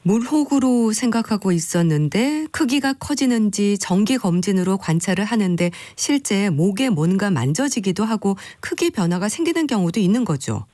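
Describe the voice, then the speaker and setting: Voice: mid-range voice, very animated, normal speed, few pauses, darkish tone, no echo. A radio interviewer politely asks short questions on a health program.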